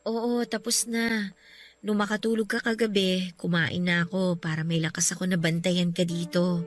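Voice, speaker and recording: A woman's voice: fairly low pitch, level tone, moderate pace, soft background music, natural voice. A woman with a Filipino accent playing a grieving, guilt-ridden wife, plaintive and emotional, in a radio drama. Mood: soft, caring.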